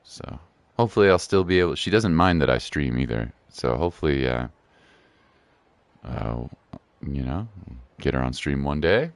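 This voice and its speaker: A man chatting casually and encouragingly as he hosts a game livestream, using relaxed slang. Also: rumbling low, moderate pace, no echo. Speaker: game streamer and host.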